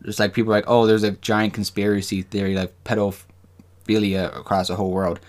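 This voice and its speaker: Dude on a podcast, kinda low voice, halting and rambly, chatting and reading off notes. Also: male voice, little pitch movement. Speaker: podcast co-host. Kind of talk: casual discussion with some reading aloud from notes.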